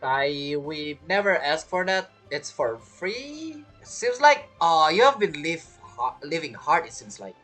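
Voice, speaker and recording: A woman streamer reacting casually to a game. The voice is low but very animated, chatty and playful, with faint music behind. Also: slow, hardly any pauses. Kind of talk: casual reaction commentary over gameplay.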